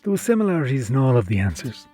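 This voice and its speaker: A man narrates a documentary from a script. His mid-pitched voice moves up and down with plenty of variety. Soft guitar music sits behind him. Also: big pitch swings, very fast.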